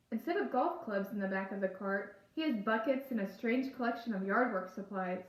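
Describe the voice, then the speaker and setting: A woman reading a first-person novel aloud as narrator. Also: a little high. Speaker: book reader. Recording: echoey room.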